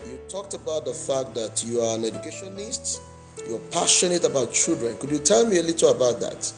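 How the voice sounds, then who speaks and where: A Nigerian man hosting an interview show, speaking in a measured way and repeating and rephrasing his words. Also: mid-range voice, lively intonation, faint music behind. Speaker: host.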